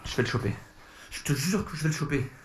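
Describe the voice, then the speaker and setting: A man's voice: fairly high pitch, lively intonation, fast talker, close to an everyday voice. An actor playing a frantic man obsessed with a noise, speaking insistently and repeating himself in an audio drama. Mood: agitated, desperate. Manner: agitated, insistent repetition. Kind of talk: French audio fiction acting.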